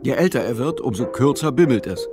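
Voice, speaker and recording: A man narrating a literary audio drama in measured, clipped, matter-of-fact phrasing. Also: medium pitch, expressive. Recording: music behind the voice. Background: music.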